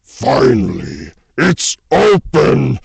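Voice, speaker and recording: A man voicing a villainous monster henchman in an audio drama, with a raspy growl and a theatrical, gloating manner. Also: medium pitch, wildly swooping pitch, no room sound, pitched above the performer's own voice, full character voice. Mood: triumphant. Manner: drawn-out, theatrical delivery with villainous laughs.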